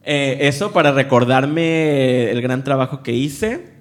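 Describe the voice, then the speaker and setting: Young mexican guy w/ veracruz accent, friendly, laughs a lot, says eh a lot, middle pitch. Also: takes it slowly. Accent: Mexican Spanish, Veracruz. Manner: friendly, laughs often, many fillers. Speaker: interview guest. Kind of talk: casual interview conversation.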